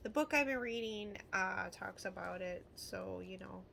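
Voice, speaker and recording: A woman's voice: mid-range voice, a little slow, vocal fry, fairly bright tone. A woman from Illinois with a Midwestern American accent, talking in a casual, rambling vlog style with frequent trailing asides, recorded with some noise. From Illinois, United States.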